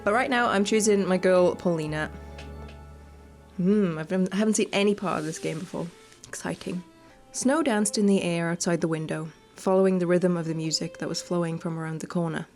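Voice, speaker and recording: Female gaming host, slightly low voice, chatty and playful, informal let's-play delivery and read-alouds, light music underneath. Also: a little fast, a little mellow. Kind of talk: casual let's-play commentary and reading game text aloud. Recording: music playing behind the voice. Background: background music.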